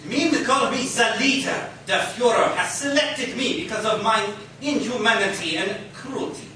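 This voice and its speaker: A man's voice: high voice, normal speed, bright, forceful tone. A man playing a cruel, gloating Nazi saboteur in a 1940s radio serial. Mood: gloating menace. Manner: menacing, gloating. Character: burly cruel gloating Nazi saboteur leader, comic-book villain. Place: live performance in a coffee house. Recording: echoey live room from far off, faint noise.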